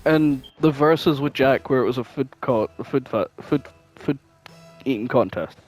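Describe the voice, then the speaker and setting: A man's voice: medium pitch, moderate pace, a little mellow, faint music behind. A man chatting casually as a podcast co-host, blunt, opinionated and free with swearing. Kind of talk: casual chat, reminiscing.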